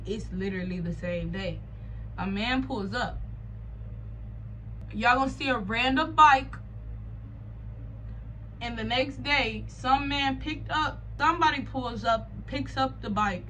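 A young American woman with a fairly high voice chats through a personal story for her vlog. She is animated and slangy, dropping sarcastic asides as she goes. The recording has clear background noise. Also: low-quality recording. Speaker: vlogger telling a personal story. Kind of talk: casual storytime chat.

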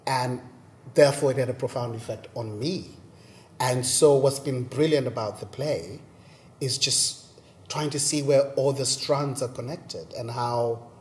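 Man in his forties, from zimbabwe, reflective panel talk, gesturing as he speaks, rehearsal room, mid pitch. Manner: thoughtful, animated, talks with his hands. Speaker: playwright and performer. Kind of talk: reflective conversation. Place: large bright rehearsal room indoors.

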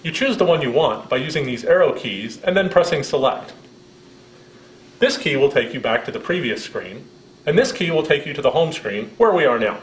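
Lively American man presenting, roomy sound. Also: fairly high pitch, a little hiss or hum. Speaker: product presenter. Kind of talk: scripted product demonstration.

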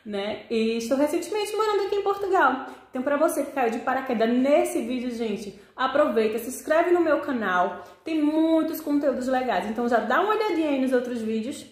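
A woman's voice: high voice, expressive, brisk, hardly any pauses. A young woman with a Northeastern Brazilian accent chatting casually and expressively to her viewers, speaking straight to the camera. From Pernambuco, Northeast Brazil. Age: young adult. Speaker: vlogger. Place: small living room with desk, indoors. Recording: echoey room.

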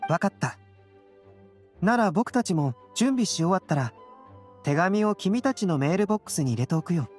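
A woman's voice: on the low side, moderate pace, breathy edge, soft background music. Serene, lilting young male intellectual. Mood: calm, curious. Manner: calm, reflective, polite. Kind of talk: Japanese anime game voice acting.

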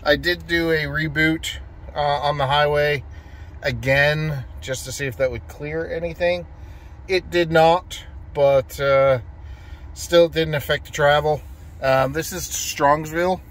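A man's voice: a little high, steady tone, takes it slowly, a bit bright. A middle-aged man vlogging casually about a road trip, easygoing and rambling with fillers, filmed on a phone at arm's length in a car and outdoors. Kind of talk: casual vlog narration. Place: inside a car and outdoors at charging stations. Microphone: phone camera at arm's length.

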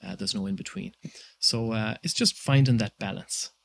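A man in his thirties with an Irish accent, giving measured interview answers with many pauses and fillers. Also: fairly low pitch, vocal fry, slightly rough. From Ireland. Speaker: guest entrepreneur.

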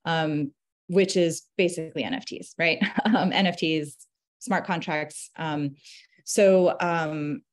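Low-voiced woman explaining on a panel. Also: slow pace. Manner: articulate, emphatic listing, checks in with "right?". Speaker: museum curator on a panel. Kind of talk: explanatory panel discussion talk. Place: indoor room on a video call.

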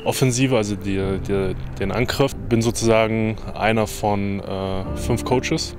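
Halting male coach with a lowish voice. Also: steady tone, over music. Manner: halting, many fillers. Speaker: football coach. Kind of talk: casual interview answers.

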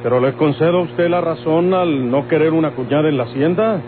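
A man's voice: a little high, natural voice. A man playing a persistent, ingratiating land buyer in a golden-age radionovela, deferential but insistent, with a Mexican accent. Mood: persuasive. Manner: insistent yet deferential, hesitant pauses. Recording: tinny, noisy old radio recording.

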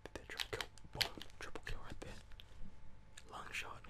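A young adult gamer whispering casual ASMR gameplay commentary in short muttered reactions, with some swearing. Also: normal speed. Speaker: gaming streamer.

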